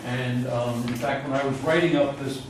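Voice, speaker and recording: A man lecturing on physics in an informal classroom style, thinking aloud haltingly and frankly admitting uncertainty. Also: medium pitch, fairly even intonation. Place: lecture room, mic away from speaker. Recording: roomy echo, distant mic, loud room noise.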